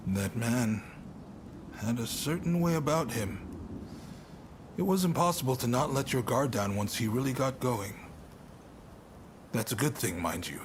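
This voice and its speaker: Over clearly noisy ambience, an actor voices a reflective male mentor from a samurai video game. His voice sits at an average male pitch, and he talks in a wry, conversational way, musing as he advises. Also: expressive, unhurried, long pauses, natural voice. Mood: wistful, musing. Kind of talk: video game cutscene acting.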